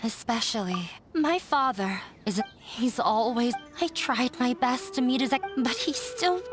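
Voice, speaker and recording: High-voiced troubled young runaway. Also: female voice, lively intonation, measured pace. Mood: dejected, hesitant. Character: troubled young runaway daughter, hurt, self-doubting, determined. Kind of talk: scripted video game dialogue acting. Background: background music.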